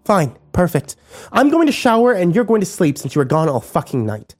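A man's voice: high voice, big pitch swings, a little fast, faint music behind, voice pushed up. A man voicing a screaming, furious young woman. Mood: bitter. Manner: shouting, bitter and accusing.

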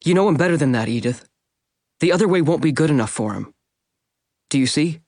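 A calm, practical male vampire, as the narrator reads him in a dry, close audiobook recording. He speaks quietly and matter-of-factly in a somewhat high male voice. Very close to the narrator's own sound. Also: fairly quick, no echo. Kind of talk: audiobook character voice.

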